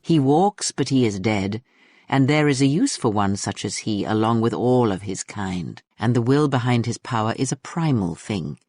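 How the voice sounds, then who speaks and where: A man voicing a husky, cryptic mystical warrior woman. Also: medium pitch, darkish tone, dry recording, clean studio sound, natural voice. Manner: grave, measured and riddling. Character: cryptic mystical female warrior, aloof and solemn. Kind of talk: fan radio drama acting.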